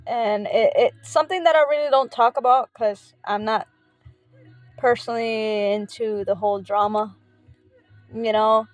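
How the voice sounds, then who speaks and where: A woman speaking earnestly in a personal faith vlog, heartfelt and halting, often pausing on filler words. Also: a little high, fairly even intonation, no echo, faint music behind. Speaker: faith vlogger.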